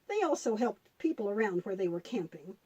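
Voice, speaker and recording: A woman's voice: mid-range voice, big pitch swings, brisk, husky, muffled recording, voice pushed down. A woman voicing a patient grandmother puppet who explains and tells Bible stories to children. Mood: patient, instructive. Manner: explanatory, patient, gently instructive.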